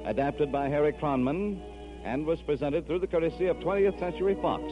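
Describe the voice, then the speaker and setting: A man's voice: fairly high pitch, a little fast. A man hosting a radio show with formal, courteous, emphatic scripted announcing in the 1940s style. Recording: muffled vintage radio broadcast with music.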